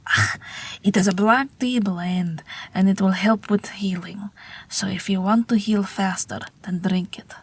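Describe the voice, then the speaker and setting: A woman's voice: medium pitch, steady tone. A woman voicing an ageless Russian night witch pilot, wry, tender and weary, in an intimate, whispery audio roleplay. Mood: dry, coaxing. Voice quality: breathy, whispery. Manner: gentle, dryly wry, unhurried.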